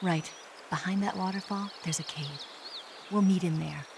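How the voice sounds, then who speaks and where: An actress playing a cool, confident young female spy in a video game, teasing and smooth. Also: fairly low pitch, moderate pace. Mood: businesslike. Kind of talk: video game cutscene acting. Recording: noisy ambient background.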